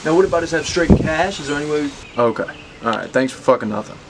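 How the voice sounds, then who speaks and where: A slightly high male voice, an actor as a frustrated man on the run. He talks casually and hesitantly, haggling as in a naturalistic drama, with faint noise behind him. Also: expressive, quick pace.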